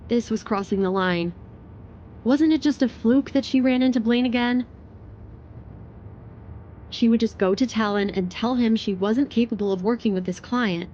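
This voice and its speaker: A woman's voice: on the high side, moderate pace, long pauses, mellow, highs cut off, a little hiss or hum. A woman giving scripted, dramatic narration of a story.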